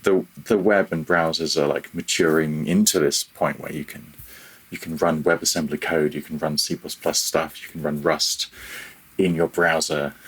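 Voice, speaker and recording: A man speaking as a guest in a casual interview, thinking aloud in a halting, self-deprecating way. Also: rumbling low, steady tone, a bit bright, breathy edge, rough recording. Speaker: interview guest, freelance audio developer.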